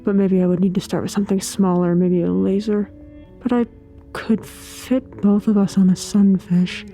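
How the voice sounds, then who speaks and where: A woman's voice: mid-range voice, steady tone, normal speed, warm tone, soft background music, lightly acted, low-quality recording. An actress playing a reserved, literal-minded, wistful woman in an audio drama, speaking tersely and matter-of-factly. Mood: quietly musing. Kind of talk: understated audio drama acting.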